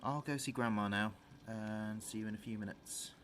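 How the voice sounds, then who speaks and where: A man acting a bereaved son in an audio drama, speaking in a low voice. He is reflective and conversational, halting now and then as he records a message for his late father. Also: fairly even intonation, fairly bright tone, slight background noise, lightly acted. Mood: tender, sad.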